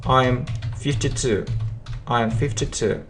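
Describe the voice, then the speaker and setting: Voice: mid-range voice, fairly even intonation, takes it slowly, slight background noise. A teacher giving a language lesson who repeats each example phrase and often breaks it into separate words for the learner to follow. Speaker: English teacher. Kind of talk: slow drilling language lesson.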